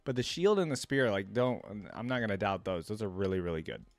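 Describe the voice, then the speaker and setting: A man's voice: lowish voice, big pitch swings, brisk, no echo. A man giving casual reaction commentary as a video host, chatty and thinking aloud as he goes. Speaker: host of a gaming reaction channel.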